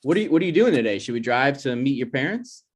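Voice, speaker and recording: A man's voice: medium pitch, very animated, quick pace. A man playing an awkward, defensive young grad student with hesitant, rambling delivery in a comedy table read. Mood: earnest.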